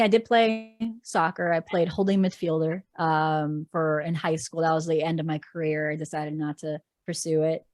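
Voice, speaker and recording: A woman in her thirties, a native Portuguese speaker, answers questions in a loose, chatty flow with plenty of ums. Her voice sits low for a woman, and the sound is very dry and close. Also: no room sound. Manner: chatty and rambling, frequent ums. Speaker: sports reporter guest. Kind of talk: casual podcast interview.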